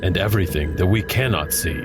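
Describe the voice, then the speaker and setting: A man's voice: deep voice, close to an everyday voice. A man playing a patient, wise elder. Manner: slow, patient, instructive. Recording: music behind. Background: music.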